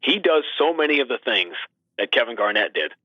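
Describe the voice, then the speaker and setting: A man working as a sports announcer, talking basketball as an animated, emphatic guest who leans on rhetorical questions, over a dull radio-like line. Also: mid-range voice, fairly quick, dry recording.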